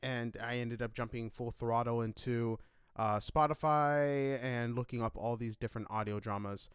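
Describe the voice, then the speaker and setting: A man's voice: medium pitch, moderate pace, smooth, no echo, clean studio sound. A man hosting an interview in a casual, conversational style. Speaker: podcast interviewer.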